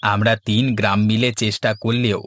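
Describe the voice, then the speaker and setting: A man's voice: lowish voice, little pitch movement, fairly quick, a bit bright, lightly acted. A man voicing a concerned, earnest village man who explains things in scripted cartoon dialogue. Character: concerned village man urging neighbours to work together.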